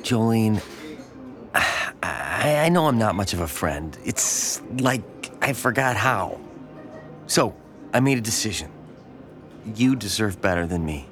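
Low, hesitant, lonely man. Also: big pitch swings, fairly bright tone, soft background music, exaggerated voice. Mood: regretful. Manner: hesitant, halting, subdued. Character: lonely, withdrawn small-town man, awkward and gloomy. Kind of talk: naturalistic audio drama dialogue.

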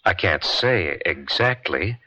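An actor playing a reluctant war correspondent on the witness stand in an old radio drama, answering tersely and formally. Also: male voice, sits low, expressive, slow, pushed and bright, heavily acted. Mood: reluctant, restrained. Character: reluctant male war correspondent testifying about his affair. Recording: dull lo-fi vintage radio.

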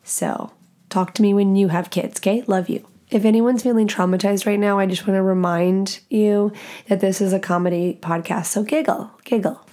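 A woman with a deep, nasal voice hosting a podcast in a blunt, profane, self-mocking casual monologue. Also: steady tone, moderate pace, dark tone. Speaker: podcast host.

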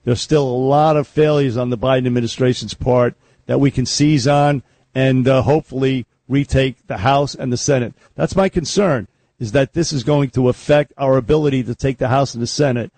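A man's voice: mid-range voice, fairly even intonation, moderate pace, a little mellow, no room sound. A man hosting a political talk radio show, blunt and combative in his opinions.

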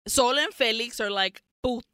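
A woman co-hosting a podcast, recapping a movie in an animated, swearing storytelling style and acting out the characters. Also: on the high side, moderate pace, clean tone, no echo, studio quality. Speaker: podcast co-host. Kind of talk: casual movie recap chat.

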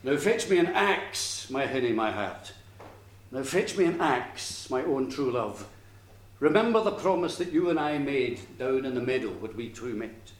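A man voicing a small, insistent storybook frog who woos in slow, pleading, repetitive song. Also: medium pitch, very animated. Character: wee pleading frog, insistent wooer singing in rhyme. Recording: echoey room, faint background noise.

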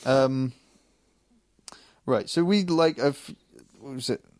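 Man, dry recording, average pitch, halting, stammering over words, casual co-host discussion. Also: darkish tone, no echo. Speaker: co-host of a chat show.

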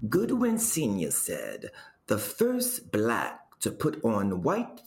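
A man performs a rambling male storyteller in a comic audio play. His voice is low and moves slowly, with lively swings and dramatic pauses. He spins absurd family history with a straight face, over faint music. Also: vocal fry, heavily acted. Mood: wry storytelling. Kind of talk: comedic audio drama monologue.

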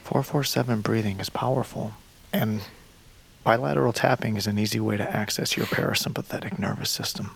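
An actor playing a gentle, steady counselor in an audio drama, speaking in a soothing, unhurried, soft way. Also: male voice, lowish voice. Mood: calm, reassuring. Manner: soothing, unhurried, often softly breathy. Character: gentle, steady male counselor guiding breathing exercises.

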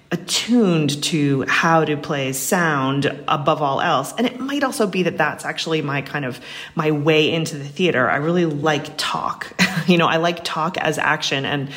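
A woman's voice: deep voice, unhurried, a bit bright. An American woman answering interview questions as a guest, chatty, reflective and wry. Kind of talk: casual interview conversation.

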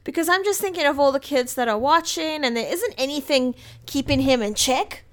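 A woman's voice: high voice, expressive, a little fast. A woman co-hosting a podcast, giving emphatic, opinionated takes in casual film review chat. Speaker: podcast co-host.

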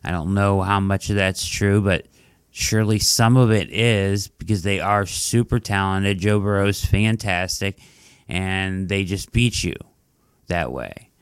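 A man's voice: deep voice, measured pace, smooth, no room sound, clean studio sound. A man hosting a casual sports podcast who is losing his voice, talking through his analysis with lots of "like" and "right?". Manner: conversational, fillers like "like" and "right?". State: losing his voice, dry throat. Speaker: podcast host.

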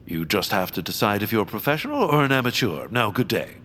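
A haughty, villainous baron in a comic audio drama. His pitch leaps dramatically as he talks, pompous and dismissive. There is faint background noise behind him. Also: sing-song, fast talker, a bit bright. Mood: lofty, dismissive. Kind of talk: scripted comedic audio drama acting.

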